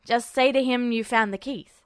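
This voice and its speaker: A woman's voice: a little high, steady tone, normal speed, smooth, no echo, natural voice. A woman voicing a cocky, sarcastic boy thief who talks in clipped, broken phrases. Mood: smug.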